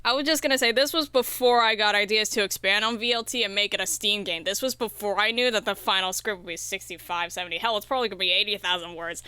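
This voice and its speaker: A woman speaking as a guest in a casual interview, animated and wry, poking fun at herself. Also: mid-range voice, fast, hardly any pauses, clear, resonant tone, no room sound. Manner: animated, wry, self-mocking. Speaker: podcast guest, indie game developer.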